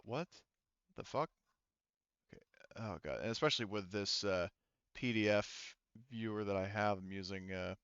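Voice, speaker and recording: Guy game mastering on a headset, dry sound, clear smooth voice, kinda rambling and cursing while he thinks out loud. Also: lowish voice, no room sound, muffled recording. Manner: sweary, halting, thinking aloud. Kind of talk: casual improvised narration of a tabletop game. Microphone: headset mic.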